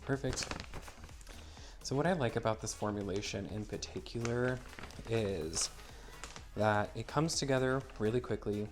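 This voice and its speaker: A man's voice: fairly low pitch, a little slow, long pauses, over music. Stuffy-nosed man, upbeat how-to demo. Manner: upbeat, explains each step as he works. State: stuffy and slightly wheezy from a cold. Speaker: maker demonstrating a product. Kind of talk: casual how-to demonstration.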